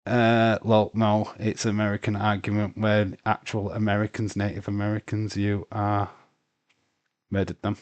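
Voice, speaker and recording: A man's voice: lowish voice, level tone, normal speed, dry recording, dull audio. A man hosting a casual livestream, arguing his point, stumbling over words and laughing. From England. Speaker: livestream host.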